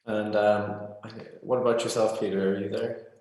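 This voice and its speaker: A man moderates a film festival Q&A in an earnest, reflective way, sometimes restarting his questions. His voice sits low. The sound rings in a strongly echoing room. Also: little pitch movement, moderate pace, darkish tone. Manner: earnest, reflective, searching for words. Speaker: interviewer. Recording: very echoey room.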